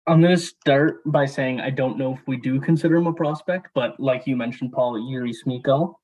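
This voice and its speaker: A man's voice: mid-range voice, brisk, highs cut off. A man in his role as guest writer speaks with an eager, upbeat manner. His voice sits in a middling register. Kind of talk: casual podcast roundtable.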